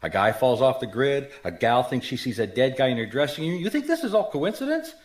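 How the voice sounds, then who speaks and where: An actor playing a shrewd, skeptical private detective in a radio mystery, with a blunt, wry, questioning manner. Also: male voice, mid-range voice, very animated, fairly quick. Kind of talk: radio mystery drama acting.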